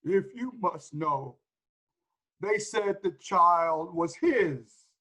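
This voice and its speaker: An actor gives us an old shepherd servant of Greek tragedy, reluctant and full of pity. His voice sits very high and he speaks slowly, with theatrical care. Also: expressive, sharp tone, full character voice. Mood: reluctant, fearful.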